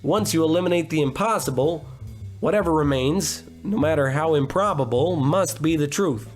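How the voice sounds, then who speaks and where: Over music, an actor plays a cocky, hard-drinking male detective from an old radio noir spoof. His voice sits a little high for a man. He monologues with melodramatic self-importance and tosses off wisecracks. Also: moderate pace, natural voice. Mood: grave, melodramatic. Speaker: narrator and lead actor.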